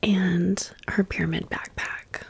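Whispering American woman, slow ASMR delivery. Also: on the low side, steady tone, creaky. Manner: chatty, rambling, repeats words softly. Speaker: ASMR video creator. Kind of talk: whispered ASMR doll haul and storytime.

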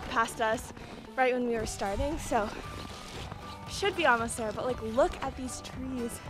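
A young woman in her twenties, a bit hoarse from losing her voice, chatting casually to a handheld camera on a snowy mountain trail. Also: on the high side, a little slow, music in the background. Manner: chatty, frequent "like" and "super". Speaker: hiking vlogger. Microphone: handheld action camera at arm's length.

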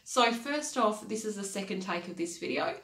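A woman's voice: medium pitch, animated, normal speed, fairly bright tone. A woman in her thirties with a congested, nasal voice, giving an earnest motivational talk as a life coach. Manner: earnest, repeats key phrases, gestures with hands. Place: small home office, indoors. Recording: echoey room.

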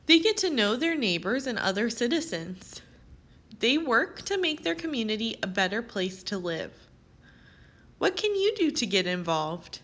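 A woman reading aloud as a teacher, with expressive, carefully phrased delivery aimed at young children. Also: fairly high pitch, normal speed. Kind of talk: children's read-aloud narration.

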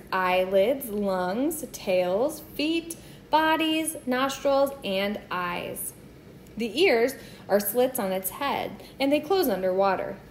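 A woman's voice: fairly high pitch, a little hiss or hum. A woman reading a children's nonfiction book aloud in a teacherly manner.

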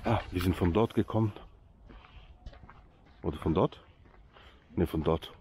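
A man's voice: sits low, unhurried, darkish tone, slight background noise. A Swiss man whose first language is Swiss German, speaking with a Swiss accent, chatting and joking to his viewers outdoors by a lake. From Switzerland. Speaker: vlogger. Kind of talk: casual vlog commentary to viewers.

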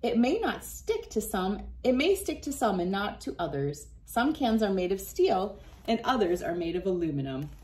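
A woman's voice: mid-range voice, sing-song, moderate pace, darkish tone. A woman in her thirties reading a science book aloud and demonstrating for children, encouraging and speaking directly to young viewers. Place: small wood-panelled room indoors, by a window. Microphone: device camera at about arm's length.

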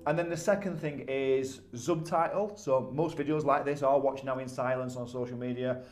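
A man's voice: mid-range voice, steady tone, normal speed, darkish tone. Emphatic Yorkshire man talking to camera. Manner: emphatic, conversational, repeats words when restarting. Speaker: business coach. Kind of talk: casual talking-head advice. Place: small office indoors. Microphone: camera at desk distance.

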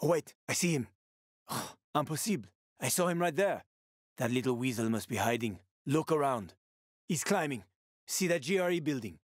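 Roguish male survivor guide for a video game, played by a man: mid-pitched, casual wisecracker, sweary, recorded dry. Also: expressive, moderate pace, dry recording, close to an everyday voice. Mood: urgent.

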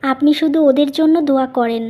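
A very high-pitched young village wife from a cartoon family drama , acted by a woman. She is gentle and self-sacrificing, and speaks with earnest, pleading feeling. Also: brisk, lightly acted. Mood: earnest, soothing. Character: gentle, grieving young elder daughter-in-law, self-sacrificing village mother. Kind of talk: Bengali animated family melodrama.

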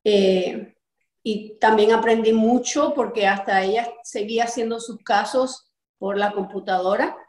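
A woman's voice: mid-range voice, fairly even intonation, a little slow, a little mellow. Middle aged cuban american woman from miami chatting in an interview, video call, roomy echo. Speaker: interview guest, artist and activist. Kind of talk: conversational interview answers. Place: bright indoor room, on a video call. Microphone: video call, sitting back from the camera. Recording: echoey room over video call.

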